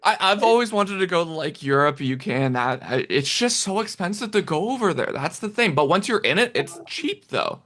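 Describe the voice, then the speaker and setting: A man's voice: high-pitched, expressive, a little fast, hardly any pauses, fairly bright tone. A man hosting a casual podcast interview over a remote call, often stammering and restarting his sentences. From Canada. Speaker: podcast host.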